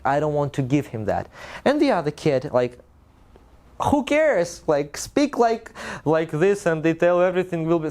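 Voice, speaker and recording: A man with a Russian accent hosting a casual group discussion, animated and laughing often, with frequent restarts. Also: fairly high pitch. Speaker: workshop host.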